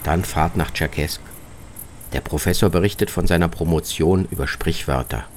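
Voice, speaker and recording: A man's voice: low voice, normal speed, a little hiss or hum. A man narrating a scripted first-person travel report, as a reporter, with music behind his voice. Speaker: reporter narrating own radio travel feature.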